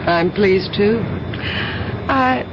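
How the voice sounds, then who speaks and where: An actress playing a polite, charming airline passenger in a radio drama, with a coy manner. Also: female voice, medium pitch, extremely slow. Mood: friendly, coy. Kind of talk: naturalistic radio drama acting. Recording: dull, noisy old radio broadcast.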